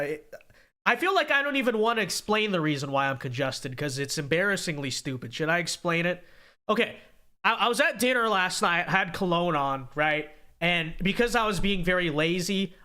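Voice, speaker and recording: A young man hosts a live-stream commentary show in a high, bright voice that sounds congested. He talks in an animated, energetic way and often stumbles into quick repeated restarts. He speaks in an upbeat way to his viewers. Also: smooth. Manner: animated, talkative, frequent stammered restarts. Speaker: host.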